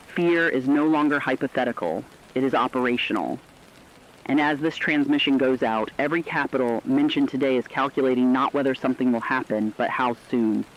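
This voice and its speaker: A man's voice: medium pitch, highs cut off, natural voice. A man playing a sober radio correspondent, giving a scripted emergency report on a looming war with serious, emphatic phrasing.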